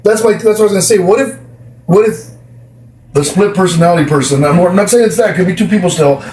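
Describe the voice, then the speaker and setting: A man giving a casual tarot reading, speculating out loud in an animated way. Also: high-pitched, quick pace. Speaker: tarot reader. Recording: roomy, distant, noisy clip.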